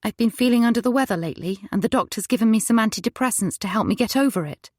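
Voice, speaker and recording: A woman's voice: a little high, fast talker, a little mellow, husky, no room sound, clean studio sound, voice pushed up. A woman voicing an anxious, tearful young wife. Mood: nervous. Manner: timid, shaky, pleading. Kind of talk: audiobook character voice.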